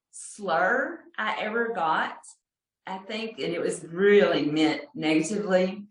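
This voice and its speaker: A woman with a deep Texas accent telling a personal story in a chatty, self-deprecating way, laughing often, over a video call. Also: reverberant, rough recording.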